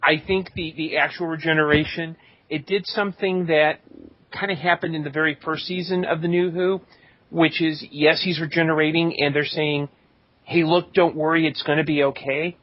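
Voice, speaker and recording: A man's voice: fairly high pitch, steady tone, moderate pace, no room sound. A man co-hosting a podcast, giving blunt, sarcastic opinions in casual chat. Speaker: podcast co-host. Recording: dull lo-fi podcast audio.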